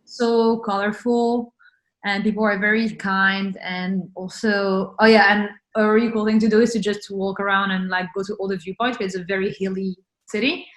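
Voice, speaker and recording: Bright-voiced woman chatting with many fillers. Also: mid-range voice, fairly even intonation, moderate pace, low-quality recording. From France. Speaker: interview guest. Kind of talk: casual chat.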